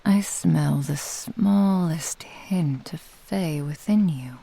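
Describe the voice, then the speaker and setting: Seductive, menacing fox-spirit witch, played by a woman, for whispered ASMR: dark, gravelly, husky, slow purring threat. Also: deep voice, exaggerated voice, rough recording. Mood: intrigued, condescending.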